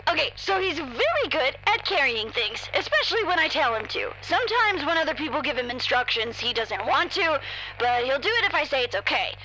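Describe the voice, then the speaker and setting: A woman's voice: high-pitched, very animated, brisk, sharp tone, a little hiss or hum, heavily acted, poor audio. A woman playing a childlike, eager agent in an audio drama, chatty and guileless in simple words. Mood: earnest, chatty. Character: childlike, eager female agent, fond of her creature.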